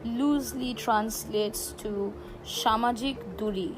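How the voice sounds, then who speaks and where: A woman's voice: a little high, takes it slowly, rough recording. A woman, speaking from her home and explaining thoughtfully. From India. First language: Bengali. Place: home in Kolkata. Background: music.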